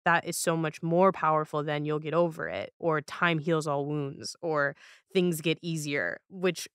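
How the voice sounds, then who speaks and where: A woman's voice: deep voice, steady tone, unhurried, a bit bright, clear, resonant tone, no room sound, studio quality. A woman hosting a podcast, talking in a reflective, earnest way and repeating phrases for emphasis. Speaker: podcast host.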